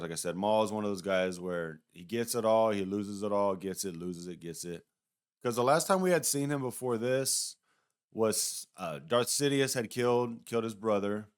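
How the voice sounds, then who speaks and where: A man's voice: fairly low pitch, clear, resonant tone. A man giving a casual, unscripted review in a rambling, conversational way, often hedging as he goes. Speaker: YouTube reviewer.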